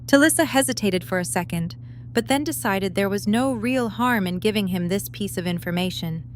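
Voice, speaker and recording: A woman's voice: medium pitch, moderate pace. A woman reading scripted story narration as the narrator of an audio drama. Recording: faint background hum and noise.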